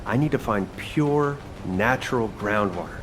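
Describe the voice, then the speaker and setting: A man's voice: fairly low pitch, expressive, a little slow, noisy background. A man voicing a laid-back, sardonic young chef in a video game, speaking casually and expressively. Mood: casual, determined.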